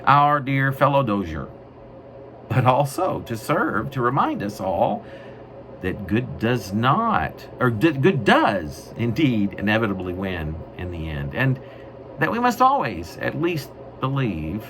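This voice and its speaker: A man reading his own poetry in a wry, mock-grandiose way, with deliberate, literary phrasing. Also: mid-range voice, big pitch swings. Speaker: poet reading own work. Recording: noisy amateur recording.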